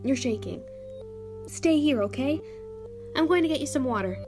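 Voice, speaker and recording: Female actor as small-town shopkeeper, horror audio drama: high register, casual, friendly concern, prominent music bed. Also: lively intonation, moderate pace. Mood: concerned, soothing.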